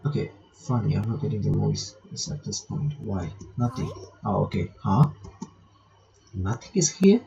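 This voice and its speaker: A man's voice: on the low side, lively intonation, measured pace, gravelly, mellow, dull audio, faint music behind. A man reading visual novel text aloud with casual commentary, breaking off to remark and reread lines. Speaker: gaming reaction video maker.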